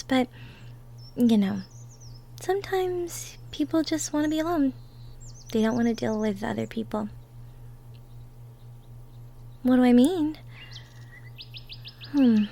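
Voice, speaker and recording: A woman playing a cheerful, gentle young woman from the woods in an intimate roleplay audio drama, with a sweet, folksy manner. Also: fairly high pitch. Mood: thoughtful.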